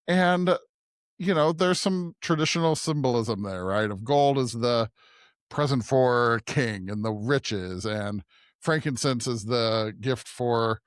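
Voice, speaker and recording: Pria pembawa siniar, bicaranya tersendat-sendat. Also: male voice, mid-range voice, no echo, clean studio sound. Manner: halting, searching for words, often asks "right?". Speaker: podcast co-host, preacher. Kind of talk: conversational scripture discussion.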